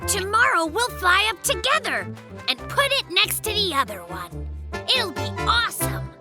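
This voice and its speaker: An actor voicing a bossy, excitable young male bear cub in a children's cartoon, boastful and theatrical, playing at being a pirate captain. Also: extremely high pitch, moderate pace, music in the background, heavily acted. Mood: cheerful.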